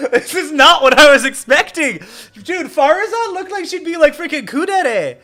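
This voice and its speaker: A woman's voice: sits high, animated, moderate pace, sharp tone. A woman giving casual, comedic live commentary as she streams a game. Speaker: streamer reacting to game dialogue.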